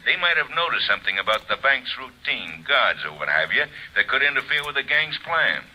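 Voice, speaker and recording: An actor playing a brusque, impatient police inspector in old radio drama. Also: male voice, medium pitch, very animated, a little fast, bright, forceful tone, heavily acted, rough recording. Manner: brusque, blunt. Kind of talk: 1940s radio acting.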